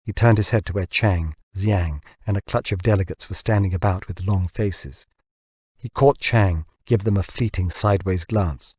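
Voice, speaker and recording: A man reading a novel aloud as scripted narration, in a measured and expressive storytelling manner. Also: sits low, husky, no echo. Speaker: audiobook narrator.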